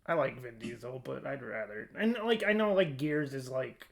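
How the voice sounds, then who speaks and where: This is a man with a medium-pitched voice leading a casual podcast discussion. He talks informally, feeling his way through each thought. The delivery is conversational and somewhat hesitant. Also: moderate pace, vocal fry. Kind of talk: casual chat.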